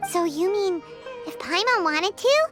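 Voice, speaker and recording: A woman voicing a tiny floating girlish companion who excitedly talks about herself in the third person. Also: very high voice, a little slow. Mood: curious. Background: loud game music.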